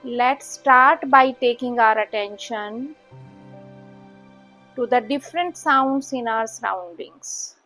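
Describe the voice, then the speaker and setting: A woman with an Indian accent leads a guided meditation as an instructor, speaking calmly in short instructions with long pauses. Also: fairly high pitch, soft background music.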